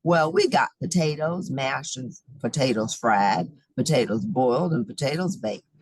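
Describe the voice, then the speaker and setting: A woman doing a no-nonsense diner cook in a storybook, sing-song and matter-of-fact. Her voice is breathy, low and dry. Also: lively intonation, a little slow, a little mellow, dry recording, full character voice, rough recording. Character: plain-spoken small-town diner cook woman.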